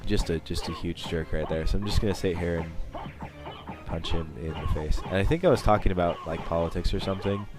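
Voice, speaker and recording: Young male let's-play host, bass-low voice, stuffy with a cold, rambling and wry. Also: a little fast, over music. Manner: rambling, self-mocking, chatty. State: sniffling from a cold. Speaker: gaming channel host. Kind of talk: let's play commentary over gameplay.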